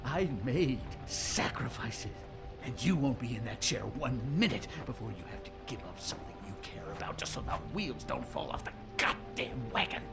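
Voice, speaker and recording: Gravelly, blustering official. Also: male voice, mid-range voice, very animated, moderate pace. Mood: bitter indignation. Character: self-pitying, scornful, blustering male official. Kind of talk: video game voice acting. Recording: music playing behind voice.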